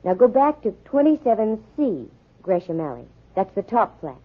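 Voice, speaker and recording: Wry private eye, old radio sound. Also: female voice, medium pitch, very animated, normal speed. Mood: brisk, wry. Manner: dry, wisecracking. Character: wry, self-possessed female private investigator. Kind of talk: 1940s radio acting. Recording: muffled, thin 1940s radio recording.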